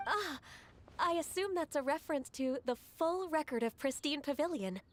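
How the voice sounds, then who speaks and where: A woman voicing a gentle, polite young female aide who speaks softly and courteously. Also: sits high, lively intonation, moderate pace, a little hiss or hum. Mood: calm. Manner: soft-spoken and courteous. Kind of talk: video game voice acting.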